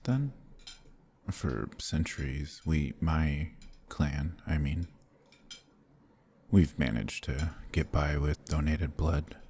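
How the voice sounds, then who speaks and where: A man voicing a courtly, gentle vampire lord in a fantasy ASMR roleplay, speaking in a formal, measured, soft-spoken way. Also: exaggerated voice. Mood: gentle, reassuring, then solemn. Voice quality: husky, low.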